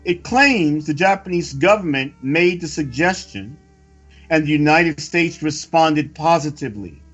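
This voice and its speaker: Slow-paced, higher-voiced man reading solemnly. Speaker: religious report reader and commentator. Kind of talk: scripted narration of a news report with preacherly commentary. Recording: dull lo-fi audio with music. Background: background music.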